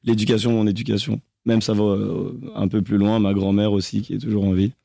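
A young man from France speaks as a singer being interviewed, pausing and restarting often as he searches for words. His low voice has a gravelly edge and a dark, mellow tone. The sound is dry, with no room around it. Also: level tone, no room sound. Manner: halting, hesitant, many restarts. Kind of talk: interview answers.

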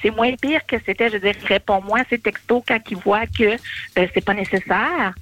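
A woman's voice: mid-range voice, normal speed, fairly bright tone, slight background noise. A woman in her forties with a Québécois accent, talking at length as a caller on a radio show and often repeating words. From Quebec. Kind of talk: call-in conversation.

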